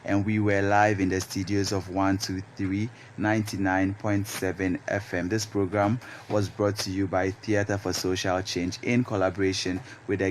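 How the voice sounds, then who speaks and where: A man's voice: deep voice, slight background noise. A young man with a Ghanaian accent hosting a panel discussion in a radio studio. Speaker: radio program host.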